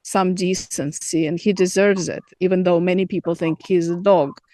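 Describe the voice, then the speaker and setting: A middle-aged woman from Moscow with a Russian accent, a native Russian speaker, answering interview questions thoughtfully with hesitant pauses. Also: lowish voice, steady tone, warm tone, no echo. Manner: thoughtful, many fillers and restarts. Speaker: filmmaker guest.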